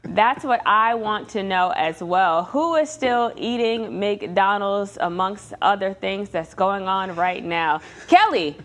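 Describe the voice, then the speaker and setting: A woman's voice: mid-range voice, animated, slow pace, hardly any pauses. A woman hosting a game show with casual banter between scripted questions.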